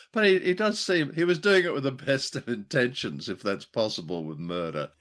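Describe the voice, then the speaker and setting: A man's voice: medium pitch, lively intonation, moderate pace, fairly bright tone. A man co-hosting a podcast, chatting casually in a chuckly, chatty manner. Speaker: podcast co-host. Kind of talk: casual discussion.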